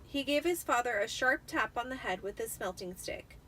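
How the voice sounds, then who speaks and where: A woman's voice: fairly high pitch, expressive, normal speed, sharp tone, far from the mic. A tipsy woman doing an amateur, dramatic reading of a story as narrator. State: tipsy from wine. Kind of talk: dramatic audiobook-style storytelling.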